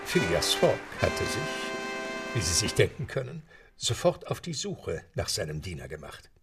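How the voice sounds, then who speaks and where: An actor narrating a radio play as an English butler, in a measured storytelling tone. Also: male voice, fairly low pitch, sing-song, vocal fry, a bit bright, soft background music, full character voice. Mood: calm.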